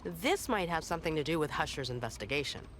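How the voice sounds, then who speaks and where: A determined, anxious young woman in a video game. Her voice is fairly low and sits against clearly noisy ambience. She speaks like someone on the hunt for clues. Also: animated, fast talker, fairly bright tone. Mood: purposeful. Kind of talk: scripted game dialogue.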